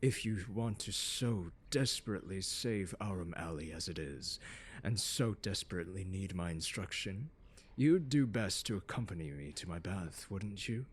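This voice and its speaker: A man playing a haughty, condescending, seductive scholar in an ASMR audio roleplay, speaking in a pompous, teasing drawl with deliberate emphasis. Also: sits low, animated, husky. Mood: smug, teasing. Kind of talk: ASMR roleplay, scripted lecture to the listener. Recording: long hall-like reverb.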